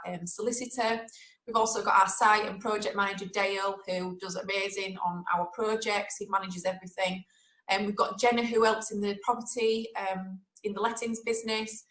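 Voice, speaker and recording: A woman's voice: mid-range voice, sharp tone, reverberant, rough recording. A woman with a Yorkshire accent hosting a webinar, talking in a chatty, direct and motivational way. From Yorkshire, England. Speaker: webinar host.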